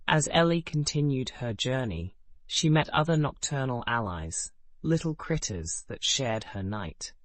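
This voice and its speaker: Calm male bedtime story narrator. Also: mid-range voice, measured pace, no echo.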